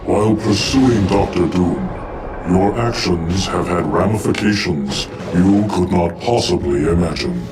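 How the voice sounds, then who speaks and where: An all-knowing observer narrates fates slowly and solemnly. The low voice is formal and portentous, set a little far off over music. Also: male voice. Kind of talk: scripted game narration. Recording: distant-sounding voice with music behind.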